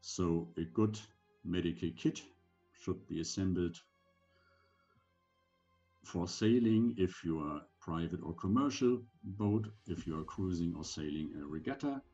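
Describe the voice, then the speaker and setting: Older guy, low mellow voice, reading thru a training presentation in careful short chunks, bit of a dull webcam sound. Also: lively intonation, a little slow, soft background music. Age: older, around 70. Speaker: presenter giving medical advice for sailors. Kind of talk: slide presentation lecture.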